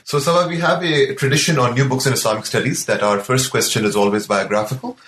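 A man hosting an academic interview, courteous and effusive, with halting, polite phrasing. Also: medium pitch, fairly bright tone. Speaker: podcast interviewer and host. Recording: roomy echo with background noise.

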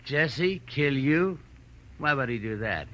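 A man's voice: mid-range voice, expressive, takes it slowly, pushed and bright. A man playing a nervous, gullible hanger-on of crooks in a 1940s radio crime drama, hesitant and easily startled. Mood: doubtful. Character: jittery, gullible male small-time hanger-on of crooks.

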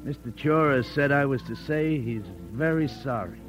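A man's voice: medium pitch, moderate pace, soft background music, lightly acted. An actor playing a meek errand-running henchman and gunman in an old radio drama. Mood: cold.

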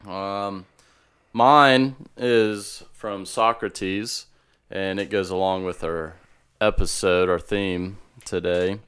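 Deep-voiced young man, thoughtful podcast host. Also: steady tone, takes it slowly, a bit bright, clean tone. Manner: thoughtful, rambling explanations. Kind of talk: casual chat.

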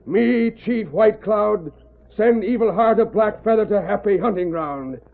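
Solemn chief, higher-pitched, halting broken English, old radio western drama, thin band-limited sound. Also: male voice, creaky, phone-quality sound. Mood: urgent, fierce.

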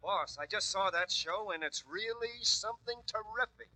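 Eager young newspaper reporter, breezy and slangy, old radio drama sound. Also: male voice, high-pitched, normal speed, pushed and bright. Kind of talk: old-time radio acting. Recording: muffled old radio recording.

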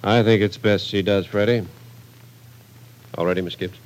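A commanding police captain with a lowish voice, acted by a man in a vintage radio crime play. He is curt, measured and stern, but fair. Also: steady tone, lightly acted, poor audio. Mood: brisk, commanding. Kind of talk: old-time radio crime drama acting.

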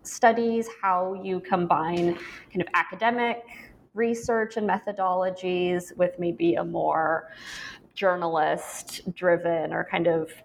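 Woman interviewer, low gravelly voice, measured questions, faint noise behind. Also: fairly even intonation. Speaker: interviewer host. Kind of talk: academic podcast interview.

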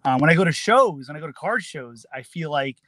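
Fast-talking New man. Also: a little high, expressive, fairly bright tone, dry recording. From New Jersey, USA. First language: Tagalog. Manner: earnest, quick, repeats words. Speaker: guest. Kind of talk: casual interview chat.

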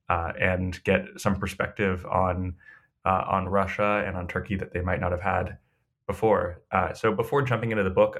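A man's voice: low-pitched, fairly bright tone. A man hosting a book interview podcast, talking in a hesitant way with lots of fillers and restarts. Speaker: podcast host.

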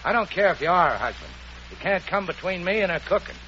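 A brash, gluttonous rich boss, talking rough and slangy. His voice is bright and forceful, swinging widely in pitch. The sound is dull like an old radio broadcast, with faint hiss. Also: big pitch swings, fast talker, full character voice. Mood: pleading. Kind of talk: 1940s radio acting.

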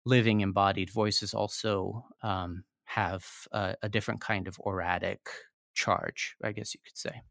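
Brief: low-pitched male scholar, thinking aloud, halting and searching, dry close sound. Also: little pitch movement, gravelly, a bit bright, no room sound. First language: not Japanese; learned Japanese as foreign language. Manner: hesitant, many fillers and restarts. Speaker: author guest. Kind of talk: academic interview answer.

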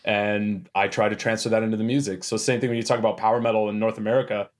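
A Canadian man in casual podcast chat, a deep-voiced baritone who tells stories with energy and acts out what people said. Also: quick pace. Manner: animated storyteller, acts out quotes. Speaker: guest, metal band frontman.

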